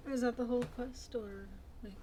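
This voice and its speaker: A soft-spoken, reserved woman in her twenties casually reacting to a game she is playing. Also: fairly high pitch, fairly even intonation, fairly quick, a little hiss or hum, rough recording. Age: 20s. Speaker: gaming video creator.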